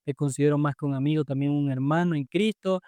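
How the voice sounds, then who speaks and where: Venezuelan man, cheerful faith podcast co-host, fairly high voice, dry close mic. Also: fast, dark tone, smooth, dry recording. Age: adult, married. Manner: cheerful, affectionate, enthusiastic.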